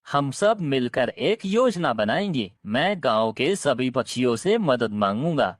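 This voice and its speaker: Cartoon parrot, male, woman performer: encouraging village friend, very low voice, dry close sound. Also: very animated, measured pace, dry recording, exaggerated voice. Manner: encouraging, earnest. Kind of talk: cartoon moral story dialogue.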